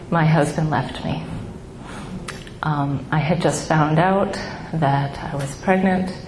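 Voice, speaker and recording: A middle-aged woman with a small, soft voice sharing her own story of grief with an audience, halting and heartfelt with many pauses. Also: lowish voice. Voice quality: soft, small voice she calls baby-like. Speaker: speaker at a grief support gathering. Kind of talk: personal testimony to an audience. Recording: echoey room with background noise.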